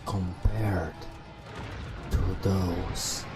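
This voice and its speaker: A man narrating an ASMR bedtime story in a soft, breathy, often whispered voice, in halting short phrases. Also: low voice, flat delivery, loud music behind the voice.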